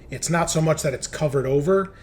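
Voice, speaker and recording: A man narrating a tabletop roleplaying game as game master, describing scenes in a halting, improvised way. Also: on the high side, slight background noise.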